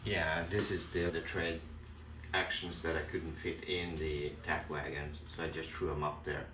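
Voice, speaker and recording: A man's voice: rumbling low, level tone, moderate pace, reverberant, far from the mic, background noise. A man with a Norwegian accent whose first language is Norwegian, explaining a construction plan briefly and plainly. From Norway. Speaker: construction project manager. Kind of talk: explaining work on a video call.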